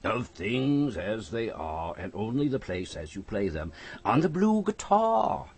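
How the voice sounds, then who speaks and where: Man, deep voice, poetry recitation, theatrical emphasis, widely swinging pitch. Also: sing-song, measured pace, darkish tone, husky. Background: music behind the voice.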